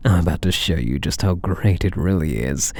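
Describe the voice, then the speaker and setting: A man's voice: low voice, a little mellow. A British man playing a possessive, flirtatious alien catboy thief in an intimate ASMR roleplay, teasing and doting. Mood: seductive, tender. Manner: teasing, doting, purring endearments, unhurried.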